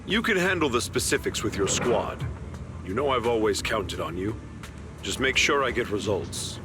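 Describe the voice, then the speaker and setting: A man's voice: fairly low pitch, animated, over music, lightly acted. A man voicing a condescending, pompous major general in a video game, commanding and slyly sarcastic. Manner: pompous, deliberate, veiled sarcasm. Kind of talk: scripted video game voice acting.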